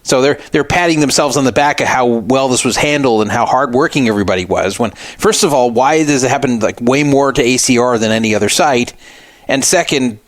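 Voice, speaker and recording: A man's voice: mid-range voice, brisk, no room sound. A man hosting a radio show, giving casual critical commentary in a sarcastic, mocking manner.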